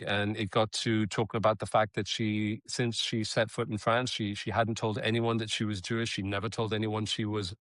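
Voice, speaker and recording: A middle-aged man from Israel, a copywriter and advocate, telling his story in an interview in an earnest, steady way with frequent restarts. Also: on the low side, no echo. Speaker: guest, creative copywriter and advocate.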